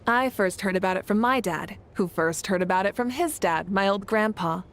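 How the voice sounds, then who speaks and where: A woman's voice: mid-range voice, moderate pace, lightly acted. A woman playing a confident, kindly warrior ruler in a video game, speaking in an assured, composed, encouraging way. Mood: calm, reassuring. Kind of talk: scripted video game voice acting.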